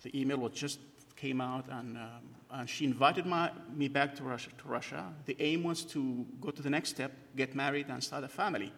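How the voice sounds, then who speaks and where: A man's voice: medium pitch, normal speed, gravelly, hardly any pauses, fairly bright tone. A middle-aged man from northern Iraq giving a hesitant personal testimony at church, with many fillers and restarts. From Kurdistan, northern Iraq. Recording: long hall reverb.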